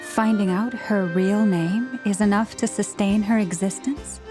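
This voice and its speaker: Woman acting as young female adventurer, middle register, calm, inquiring, earnest, game dialogue over music. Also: medium pitch, measured pace, close to an everyday voice. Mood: earnest, puzzled. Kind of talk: scripted video game character dialogue. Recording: music behind the voice.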